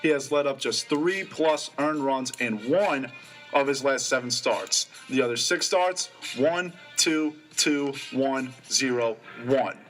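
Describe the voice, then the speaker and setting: A young man co-hosting a college sports radio show, reading off stats in casual, excitable talk. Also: mid-range voice, soft background music. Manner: rattles off stats quickly, excitable.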